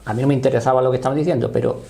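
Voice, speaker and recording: A man in his sixties with a Spanish accent explains and recounts his experience in a halting, conversational way, often checking he is understood. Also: mid-range voice, fairly even intonation, creaky, dark tone. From Spain. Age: 60s. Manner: halting, often seeking confirmation. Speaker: interview guest, paranormal voice researcher.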